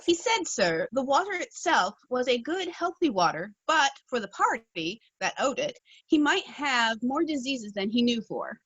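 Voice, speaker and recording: A woman's voice: high voice, sing-song, unhurried, fairly bright tone, slightly rough, no echo, lo-fi sound, exaggerated voice. A woman playing a young male page who politely reports back to his master, in a Shakespeare reading over a video call. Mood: dutiful. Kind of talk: live-streamed Shakespeare scene reading.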